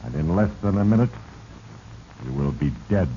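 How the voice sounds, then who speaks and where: An actor playing Fate, a sinister, solemn spirit who narrates mortals' doom in a grave, deliberate manner for a 1940s radio drama. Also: male voice, low-pitched, expressive. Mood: ominous. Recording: dull, noisy 1940s radio recording.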